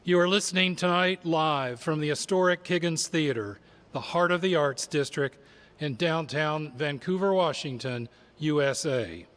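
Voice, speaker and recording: A man hosting a live radio drama from a stage, announcing in a formal, measured way with deliberate phrasing. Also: on the high side, fairly bright tone, a little hiss or hum. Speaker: producer and host. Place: on stage in a theater with long reverb. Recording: reverberant theater hall.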